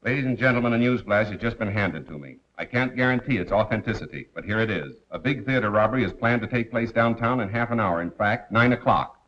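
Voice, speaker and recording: Polished 1930s radio crime commentator, played by an actor, dull soundtrack. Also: male voice, on the low side, expressive, close to an everyday voice. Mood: composed. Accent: American. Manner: polished, measured announcer delivery. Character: suave, wry male radio crime commentator and amateur sleuth. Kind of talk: 1930s American film acting as a radio newscaster.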